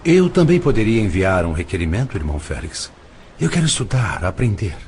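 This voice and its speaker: A man's voice: fairly low pitch, very animated, a little fast, background noise. A man playing an older, earnest father spirit in an audio drama, speaking formally and intently. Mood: humble, eager. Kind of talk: scripted audio drama dialogue.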